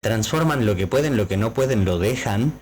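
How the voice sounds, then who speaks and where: A man's voice: lowish voice, lively intonation, normal speed, darkish tone. A man, explaining things to his co-host in a casual podcast. He talks in long, lecturing stretches padded with fillers. A faint background noise runs under his voice.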